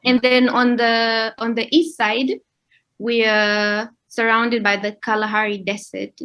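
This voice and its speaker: A young adult woman from Namibia, a guest in a casual interview who explains things with pauses. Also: fairly high pitch. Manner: relaxed, explains with pauses and laughs.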